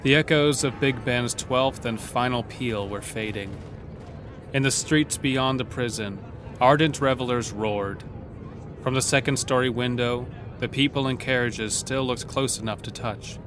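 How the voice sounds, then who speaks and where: A man's voice: mid-range voice, unhurried, background noise. A man narrating a scripted horror story in an audio drama. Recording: clear outdoor street ambience behind voice.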